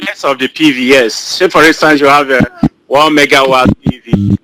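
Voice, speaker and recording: A man asking a question on a video call, halting and searching for words. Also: mid-range voice. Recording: loud background noise on a call.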